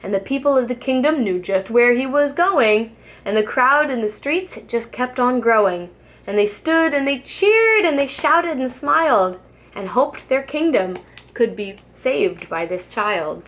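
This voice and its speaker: A woman with a fairly high voice reads a rhyming storybook to young children. Her delivery is very animated, swinging widely in pitch, and she phrases each line with deliberate, expressive care. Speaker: preschool teacher reading a storybook aloud. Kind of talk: children's storybook read-aloud in rhyme.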